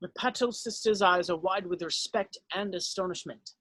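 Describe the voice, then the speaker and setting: A woman's voice: fairly low pitch, normal speed, fairly bright tone, dry recording. A woman narrating stage directions from a script.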